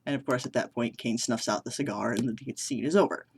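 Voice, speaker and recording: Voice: medium pitch, a little fast, husky. A vlogger from New England chatting casually to the camera, rambling and self-deprecating with many restarts. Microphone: camera mic.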